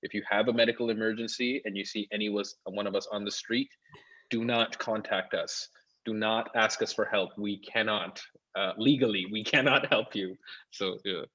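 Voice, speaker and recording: Guy from saudi arabia chatting on a panel, low monotone, kinda muffled lo-fi sound. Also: normal speed. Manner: joking, filler-heavy, earnest. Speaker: actor on the show. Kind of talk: casual panel talk.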